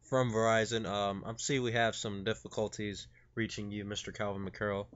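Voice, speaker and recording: A man's voice: fairly low pitch, little pitch movement, normal speed, a bit bright. A young man making a phone call as a customer service agent, polite and formal but hesitant, in a lo-fi recording. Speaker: prank caller.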